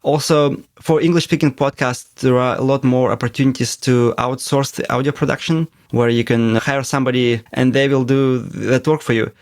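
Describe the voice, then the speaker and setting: Gravelly man, thoughtful. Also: medium pitch, fairly even intonation, no echo, studio quality. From Russia. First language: Russian. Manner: measured, thoughtful, explains at length. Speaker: podcast co-host, interviewee. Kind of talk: reflective conversational interview.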